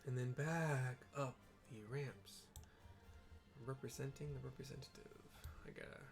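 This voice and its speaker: A man hosts a casual game playthrough in a mid-pitched, creaky voice. He talks informally and haltingly, describing things as he goes. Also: fairly even intonation, soft background music. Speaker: gaming video host.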